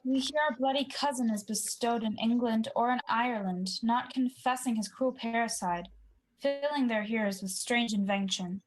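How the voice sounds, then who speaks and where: High-voiced woman as a scheming usurper king. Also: normal speed, natural voice, poor audio. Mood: courteous, guarded. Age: young adult. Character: ambitious, guilty, scheming Scottish usurper king Macbeth. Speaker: student actor. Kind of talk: 1940s radio play Shakespeare acting.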